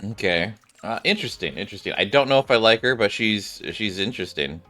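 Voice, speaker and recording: A man's voice: fairly low pitch, lively intonation, fairly quick, pushed and bright, soft background music. A man streaming a video game, giving casual, rambling commentary as he reacts aloud.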